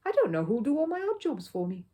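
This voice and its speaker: A woman's voice: on the high side, huge pitch swings, fast, dark tone, voice pushed up. A woman voicing a grumpy, blustering older male neighbour in a children's storybook, bellowing and indignant. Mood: gruff.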